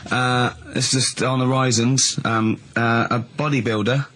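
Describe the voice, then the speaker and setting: A man co-hosting radio, telling stories in a casual, halting way. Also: mid-range voice, little pitch movement, a bit bright. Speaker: radio show co-host. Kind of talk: casual chatty storytelling.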